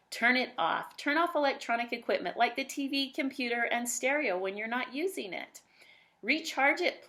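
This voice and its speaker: A woman's voice: a little high, fairly bright tone. A woman reading aloud expressively in the style of a children's storybook.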